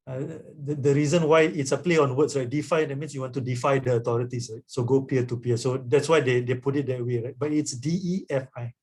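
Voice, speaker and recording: A man speaking as a guest author at a webinar, answering an audience question in an explanatory way. He keeps checking in with his listeners by tagging "right?" onto his points. His pitch sits in the middle range. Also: steady tone, a little fast, few pauses, a little mellow. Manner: explanatory, often adds "right?".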